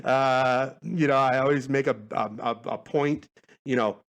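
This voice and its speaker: A man's voice: medium pitch, steady tone, measured pace. A man hosting a podcast, chatting casually in a laid-back, informal, rambling way. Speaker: podcast host. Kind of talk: casual rambling solo podcast sign-off chat.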